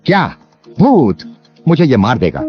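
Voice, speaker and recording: An actor playing a greedy, cowardly landlord villain in an animated moral story, exaggerated and theatrical. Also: male voice, medium pitch, measured pace. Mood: terrified, pleading. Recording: dull lo-fi audio. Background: music.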